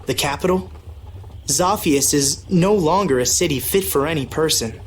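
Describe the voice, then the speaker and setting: Actor as a gentle, lighter-voiced young nobleman. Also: fairly high pitch, slight background noise, lightly acted. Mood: grave, earnest. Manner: formal, earnest, measured. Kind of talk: English video game dub.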